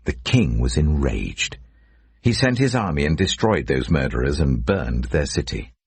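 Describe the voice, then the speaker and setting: A man narrates scripture in an extremely low voice, full of rasp and captured very dry. He tells it as dramatic storytelling, leaning on key words with emphatic stresses. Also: very animated, unhurried, no room sound.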